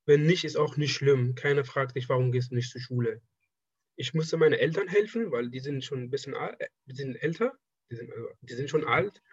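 A man's voice: mid-range voice, breathy edge, no room sound, muffled recording. A young man in his twenties from Afghanistan whose first language is Persian, talking casually in an interview and often stopping to restart his sentences. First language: Persian (Dari). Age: 20s. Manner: halting, restarts phrases often. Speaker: interviewee, trained draftsman.

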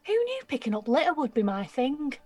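A young woman acting an excitable, mouthy and insecure nineteen-year-old girl in an audio drama, with slangy, blurting speech. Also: high voice, brisk, faint music behind. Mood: proud, needy.

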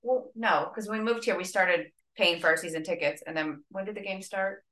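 A woman's voice: on the low side, a little fast, a bit bright, reverberant. A woman co-hosting a casual podcast chat, chatty and full of filler likes. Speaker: podcast co-host.

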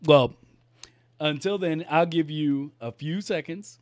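A man's voice: a little high, slow, no room sound, studio quality. A man hosting a casual live-stream chat, laid-back, laughing often and repeating his words. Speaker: podcast host.

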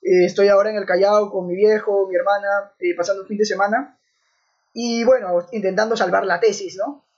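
A woman's voice: fairly high pitch, a little mellow. A young woman, hosting a podcast in a friendly, conversational way. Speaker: podcast host. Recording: dull lo-fi sound.

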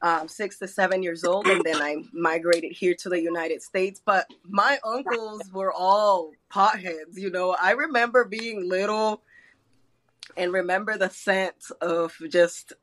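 A woman's voice: lowish voice, steady tone, unhurried, fairly bright tone, slight background noise, poor audio. A young woman from Mexico, a guest artist chatting casually, giggling and rambling as she talks. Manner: giggly, rambling, laughs often. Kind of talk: casual chat.

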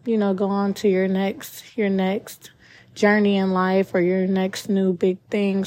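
A young woman in her twenties with a raspy voice, just up from a nap, hosting her own podcast in a chatty, rambling monologue with many ums. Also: mid-range voice, warm tone. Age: 20s. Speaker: podcast host. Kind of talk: casual solo podcast monologue.

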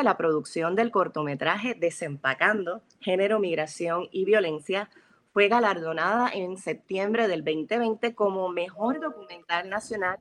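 A woman hosting a panel, reading formal introductions of her guests in a measured way. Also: lowish voice. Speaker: host.

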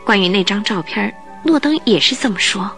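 A woman's voice: medium pitch, lively intonation, brisk, gravelly, fairly bright tone, faint music behind. A woman playing a clever, wary and determined heroine in a Mandarin radio drama. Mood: wary, earnest. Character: clever adventurous woman, wary, determined, polite, newly married.

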